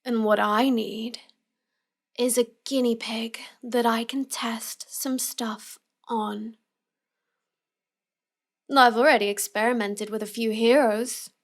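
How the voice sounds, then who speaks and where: Slow-talking, smug young villainess, acted by a woman. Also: fairly high pitch, steady tone. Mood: smug, gloating. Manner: slow, smug, taunting delivery. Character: cute smug young villainess, teasing, mocking, manipulative. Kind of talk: scripted roleplay audio addressed to the listener.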